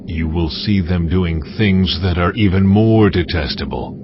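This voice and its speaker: A man voicing God in a dramatized scripture reading, slow and solemn with stern pronouncements. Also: sits low, noisy background. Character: stern, commanding voice of God, ancient male deity. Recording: dull lo-fi audio with music bed. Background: music.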